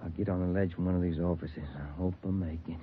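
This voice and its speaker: An American actor playing a game, terse police detective in a 1940s radio crime drama, giving short clipped replies. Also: male voice, sits low, steady tone, fast talker, natural voice. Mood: urgent, doubtful. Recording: thin, phone-like old radio recording, faint noise.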